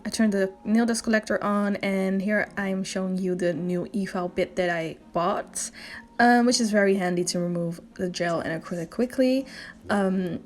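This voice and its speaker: A woman's voice: medium pitch, a little mellow. A young woman from the Netherlands with a Dutch accent, chatting casually through a nail tutorial voice-over, with many ums. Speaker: beauty vlogger.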